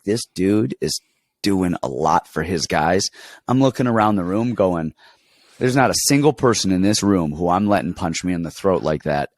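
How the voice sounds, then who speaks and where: Congested low-voiced man talking fights. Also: no echo. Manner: rambling, animated storytelling with many restarts. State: congested, getting over an illness. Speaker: podcast co-host and fight coach. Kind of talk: casual sports betting chat.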